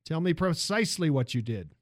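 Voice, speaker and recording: A man's voice: fairly high pitch, sing-song, a little fast, no room sound, studio quality. A man voicing an imperious, demanding industrialist in a narrated story, with a commanding and emphatic manner. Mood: cold, haughty. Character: imperious male automobile tycoon, demanding and cold.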